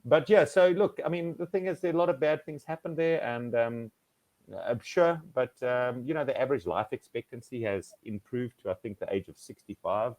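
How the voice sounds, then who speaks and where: A man's voice: medium pitch, moderate pace, darkish tone, no room sound, poor audio. A South African man tutoring over a video call, talking at length with many fillers and restarts. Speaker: online English tutor. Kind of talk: casual video-call conversation.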